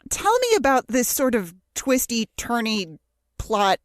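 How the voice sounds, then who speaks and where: A woman's voice: a little high, very animated, unhurried, no room sound, clean studio sound. A woman co-hosting a podcast with a sardonic manner, in scripted recap and casual chat. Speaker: podcast co-host.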